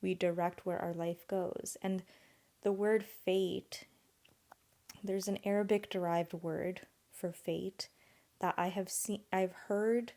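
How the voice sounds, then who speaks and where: Mellow-voiced woman musing on her podcast. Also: medium pitch, steady tone, slow pace. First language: Urdu. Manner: earnest, rambling, thinks aloud with many "like"s. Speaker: podcast host. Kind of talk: solo podcast monologue.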